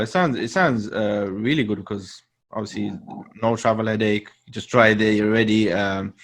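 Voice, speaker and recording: Low-voiced relaxed male interviewer. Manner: relaxed, agreeable, many ums and uhs. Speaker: podcast host, interviewer. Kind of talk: casual interview.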